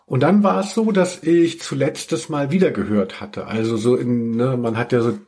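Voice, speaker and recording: A man's voice: mid-range voice, normal speed, a little mellow. A man, middle-aged, hosting a podcast in casual chat with an ironic, tongue-in-cheek manner. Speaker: podcast host.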